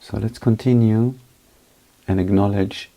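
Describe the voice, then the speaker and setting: A man leading a guided meditation as a teacher, speaking in short, unhurried phrases with pauses between them. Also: on the low side, steady tone, creaky, mellow.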